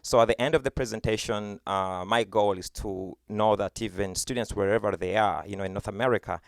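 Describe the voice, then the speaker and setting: Low, smooth-voiced Ugandan man presenting. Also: dry recording, clean studio sound. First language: Luganda. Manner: explains and demonstrates patiently, with many fillers like "uh" and "you know". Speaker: music teaching artist. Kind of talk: virtual school presentation. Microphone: computer webcam mic.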